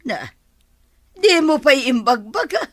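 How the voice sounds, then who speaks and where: A woman's voice: high-pitched, sing-song, slow, fairly bright tone, no room sound, studio quality, exaggerated voice. A woman playing a fretful, scolding older mother with an Ilocano accent in a radio drama. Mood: dismayed.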